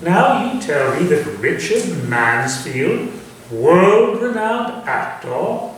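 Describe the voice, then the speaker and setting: A man playing an urbane, theatrical Victorian gentleman with cultivated, deliberate phrasing in a staged reading. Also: a little high, sing-song. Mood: intrigued. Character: Sir Walter, urbane Victorian gentleman, theatrical, eagerly intrigued. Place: echoey room or small theatre, staged reading. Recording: very echoey, distant stage recording, faint noise.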